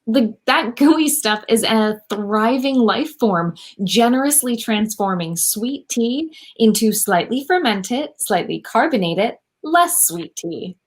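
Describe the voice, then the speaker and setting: Actress, thirties, as proud young eco-inventor for radio comedy, dry wit, fairly high natural voice. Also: female voice, animated, slow pace, few pauses. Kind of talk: comedic radio play acting over video call. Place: room at home, on a video call.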